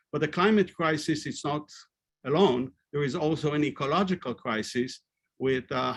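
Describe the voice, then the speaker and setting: A man with an Israeli accent giving an earnest webinar presentation over a video call, pausing often with fillers. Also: medium pitch. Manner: earnest, hesitant with frequent fillers. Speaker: panelist presenting research.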